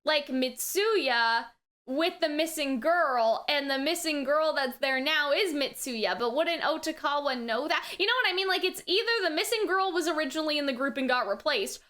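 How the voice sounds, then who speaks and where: A woman with a high-pitched, bright voice talks through a review as a reaction host. Her delivery is casual and rambling, animated and conversational, with frequent little check-ins to the listener. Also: moderate pace. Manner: animated, conversational, often checking in with "right?" and "you know what I mean?".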